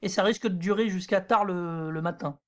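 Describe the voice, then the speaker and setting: A man's voice: high voice, a little fast, fairly bright tone. A man co-hosting a podcast in casual chat, hesitant and often correcting himself. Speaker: podcast co-host.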